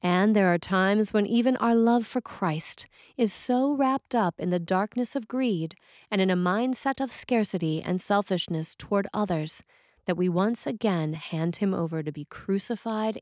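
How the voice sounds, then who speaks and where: Female sermon reader, measured and reflective, mid pitch, very dry close recording. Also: a little mellow, dry recording. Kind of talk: scripted sermon reading.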